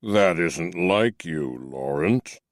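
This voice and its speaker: A man reading an audiobook voices a stern but kindly captain who speaks slowly and gently admonishes. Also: low-pitched, lively intonation, no room sound, studio quality, full character voice. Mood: measured, stern. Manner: slow, measured, gently admonishing. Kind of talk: audiobook character voice.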